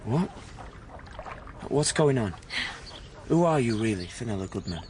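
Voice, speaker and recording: Animated young working-class lad. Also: male voice, mid-range voice, a little slow, slight background noise. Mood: incredulous. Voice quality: colloquial, plain-spoken. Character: young working-class English drifter, romantic, blunt and wary. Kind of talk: radio play acting and first-person narration.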